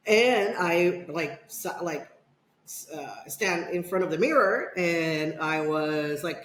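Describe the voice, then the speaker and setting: A woman's voice: on the low side, fairly bright tone. A middle-aged woman from the Dominican Republic telling a personal story as a podcast guest, rambling with fillers and restarts. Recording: echoey room.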